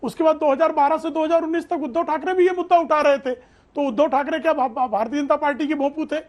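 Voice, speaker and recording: A man with a strikingly high voice argues as a party spokesman on a televised debate. His delivery is formal, emphatic and combative, built on rhetorical questions. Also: steady tone, quick pace, a little mellow.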